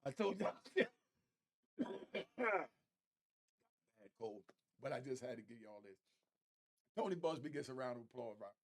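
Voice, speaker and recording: A man's voice: mid-range voice, sing-song, unhurried, pauses often. A man giving informal, emphatic reaction commentary as a show host, often repeating phrases for effect.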